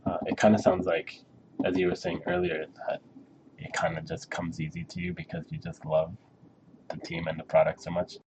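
A man's voice: low voice, very animated, normal speed, gravelly, heavy background noise. A man interviewing casually, hesitant and rephrasing his questions as he goes.

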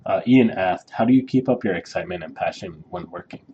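A man's voice: on the low side, brisk, lo-fi sound, a little hiss or hum. A man interviewing casually, hesitant and rephrasing his questions as he goes.

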